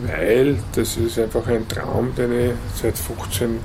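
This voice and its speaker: A man's voice: mid-range voice, steady tone, a little slow. A man talking casually and reflectively, as an interviewee. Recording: clearly noisy ambient background.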